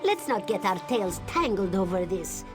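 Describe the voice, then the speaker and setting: Casting: actress for female feline mercenary captain in a game, sly and smug, purring, insinuating delivery, widely swinging pitch, music underneath. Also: sing-song, moderate pace, lightly acted. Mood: sly, teasing. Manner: speaks of self in third person, purring, insinuating. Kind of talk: video game character dialogue.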